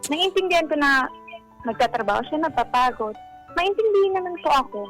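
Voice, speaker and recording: A woman's voice: sits high, animated, fairly quick, faint music behind, rough recording. A woman with a Filipino accent speaking politely as a caller, confiding about her personal life. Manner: polite and deferential in address. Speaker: caller seeking advice.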